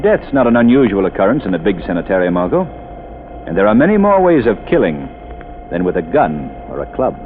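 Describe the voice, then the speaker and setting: A man playing a calm, wealthy amateur sleuth in a 1930s radio drama, measured and commanding. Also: fairly low pitch. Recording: tinny old radio broadcast with faint hiss.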